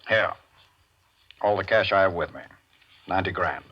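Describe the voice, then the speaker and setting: An actor playing a cold, domineering big-time gambler with a husky voice, mocking and commanding in 1940s radio crime drama style. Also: male voice, low voice, noisy background, close to an everyday voice. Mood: taunting. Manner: commanding, mocking, unhurried menace. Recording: dull 1940s radio recording.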